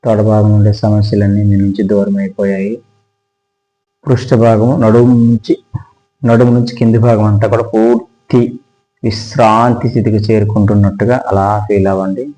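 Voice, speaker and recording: A man leads guided yoga relaxation in a low, mellow voice. His delivery is slow, calm and very even, leaving pauses between the instructions. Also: poor audio.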